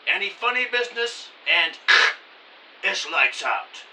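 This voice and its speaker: A bossy middle-aged gangster, played by a man with a high-pitched, very sharp voice. He issues menacing orders in mobster slang, in a comic audio drama recorded slightly off mic with faint hiss. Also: big pitch swings, takes it slowly, full character voice. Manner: old-time mobster slang, threatening orders. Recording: slightly distant, hissy home recording.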